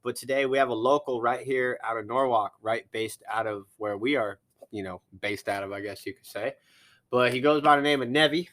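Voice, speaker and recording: A man's voice: mid-range voice, a little fast, fairly bright tone. Young male podcast host, casual and rambling, studio chat. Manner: laid-back, rambling with fillers. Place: recording studio.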